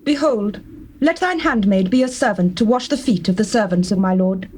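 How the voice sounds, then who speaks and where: A woman's voice: mid-range voice, moderate pace, darkish tone, close to an everyday voice. A woman playing a humble, submissive noblewoman in a dramatized scripture, formal and deferential. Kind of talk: classical dramatized scripture acting. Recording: hissy old cassette tape.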